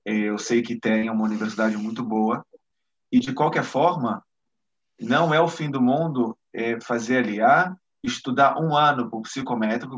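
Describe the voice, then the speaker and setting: Man from brazil, interview guest, halting restarts, mid pitch, muffled lo-fi audio. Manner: hesitant, with many restarts and fillers. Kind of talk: explaining in an interview. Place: lives in Israel.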